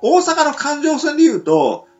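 A man's voice: very high-pitched, big pitch swings, moderate pace, lo-fi sound, faint music behind. A man co-hosting a casual radio chat, rambling with many fillers. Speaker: radio show co-host.

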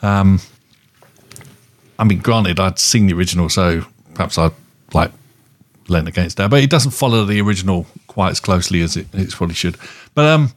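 Casual podcast co-host: male, lowish pitch, rambling, halting delivery. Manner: rambling, halting and hedging, circles back over phrases. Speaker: podcast co-host reviewing films. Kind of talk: casual film review chat.

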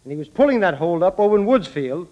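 A man's voice: sits high, very animated, fast talker. A man playing a dutiful, matter-of-fact police sergeant in an old radio drama, on a dull recording.

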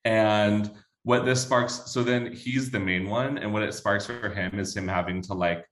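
A man's voice: fairly low pitch, steady tone, unhurried, a bit bright, roomy sound. A young man with a Southern US accent, chatting casually and laughing easily, with many "like" fillers. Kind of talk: casual chat.

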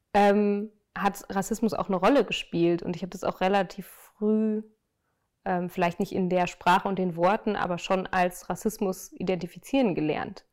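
A woman's voice: mid-range voice, a little mellow. A young woman from Germany answers interview questions. She talks in an earnest, reflective way, often stopping on small fillers while she finds her words. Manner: thoughtful, many fillers, earnest. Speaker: interview guest.

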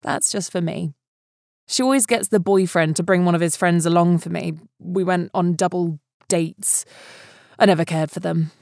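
A woman reads scripted narration with measured, clear phrasing. Her voice sits a little low and the sound is dry, with no room echo. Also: fairly even intonation, darkish tone. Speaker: podcast announcer and reader.

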